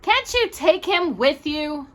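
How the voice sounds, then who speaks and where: A woman's voice: high voice, animated, slow, voice pushed up. A woman voicing a bossy, grumpy older brother in a storybook, whiny and scornful. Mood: whining.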